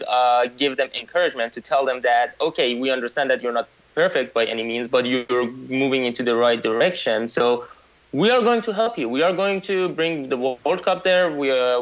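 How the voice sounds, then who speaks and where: Brief: Iran-born man as podcast guest, mid-pitched, animated and halting with fillers, slightly dull lo-fi sound. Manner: animated, many restarts and fillers, laughs mid-sentence. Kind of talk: casual discussion. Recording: dull, slightly lo-fi remote audio.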